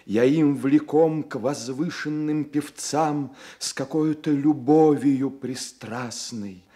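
An actor playing an ardent, affectionate young poet in a radio play, speaking expressively and savoring the words. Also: male voice, on the high side, slow, mellow. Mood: rapt, admiring. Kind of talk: radio play acting, verse declamation.

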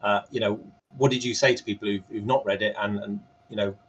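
A man's voice: on the low side, steady tone, a little fast, a little hiss or hum. A man moderating an unscripted panel over a video call, hesitating and repeating words as he goes. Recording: muffled, band-limited video call.

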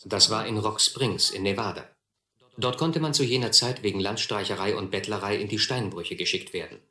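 A wry former vagabond narrates a radio play reflectively. His voice is lowish and bright-edged, with a roomy sound. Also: normal speed. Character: grown man looking back wryly on his vagabond youth.

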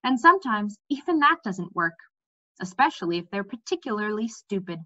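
A woman voicing a brisk, practical, outspoken young princess in a storybook, matter-of-fact and emphatic. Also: medium pitch, big pitch swings, pushed and bright. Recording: slightly dull webcam audio.

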